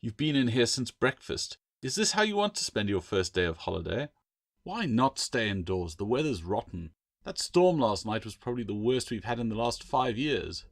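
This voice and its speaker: A man's voice: medium pitch, no room sound, clean studio sound. A man voicing a bold, teasing young sister in a storybook. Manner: teasing, rebuffing.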